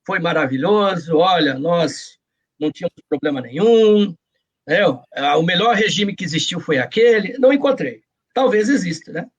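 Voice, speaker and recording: A man's voice: high-pitched, fairly bright tone, husky. A man with a Brazilian Portuguese accent, speaking earnestly and explaining his views in a reflective interview. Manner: earnest, explanatory, often seeking agreement with short tags. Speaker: interview guest, journalist and author.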